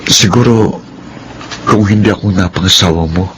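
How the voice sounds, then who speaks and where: A man's voice: deep voice, moderate pace, natural voice. A male actor with a Filipino accent playing a humble, honest young scavenger in a radio drama, earnest and self-effacing. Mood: tender, apologetic. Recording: clear background noise and ambience.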